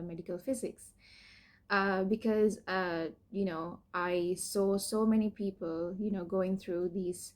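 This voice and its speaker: Young woman from Sri Lanka, personal vlog, hesitant and candid with fillers, mid-pitched voice. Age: young adult. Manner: hesitant, many fillers and restarts, soft laughs. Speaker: PhD student sharing her cancer story.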